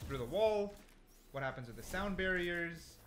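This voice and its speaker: A man's voice: sits high, expressive, normal speed, fairly bright tone, slight background noise. A man giving casual, slangy gameplay commentary, thinking aloud as he goes. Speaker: gaming content creator.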